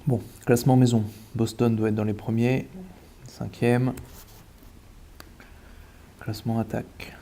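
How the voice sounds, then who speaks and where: A man with a stuffy cold talks slowly through sports numbers, muttering to himself and repeating words as he checks them. His low, mellow voice stays flat, and a faint hiss of room noise sits underneath. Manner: eating while talking. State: has a cold. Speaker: sports betting analyst. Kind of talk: casual thinking-aloud match analysis.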